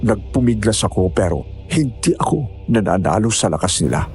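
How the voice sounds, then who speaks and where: A man's voice: lowish voice, very animated, normal speed, darkish tone, soft background music. A man narrating a scripted first-person horror story.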